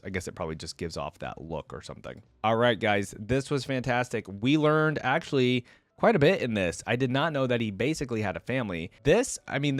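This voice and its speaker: A man's voice: medium pitch, no echo, clean studio sound. A man hosting a reaction video, commenting in a casual, chatty, informal way with frequent interjections.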